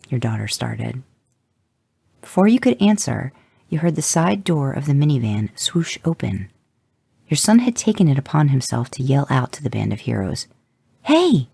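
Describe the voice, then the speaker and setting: Gravelly, expressive podfic reader. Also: medium pitch, no room sound. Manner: expressive, voices the characters. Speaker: podfic reader and podcast producer. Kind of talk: fan fiction audiobook reading.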